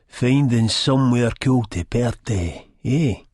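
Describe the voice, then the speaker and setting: Man acting a menacing, husky Scottish thug. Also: mid-range voice, slow pace, a little mellow, dry recording, clean studio sound, voice pushed up. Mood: cocky. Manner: snapping, bullying, sneering. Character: menacing, foul-mouthed young Scottish thug.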